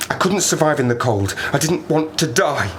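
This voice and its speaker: A man's voice: fairly high pitch, expressive, a bit bright. Man acting a haunted, swooping-voiced Arctic survivor. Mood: anguished, defiant. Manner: wry, halting, rambling, increasingly agitated. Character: stranded Arctic survivor, haunted, sardonic man unravelling with fear. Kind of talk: dramatic audio play monologue. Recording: noisy ambience behind the voice.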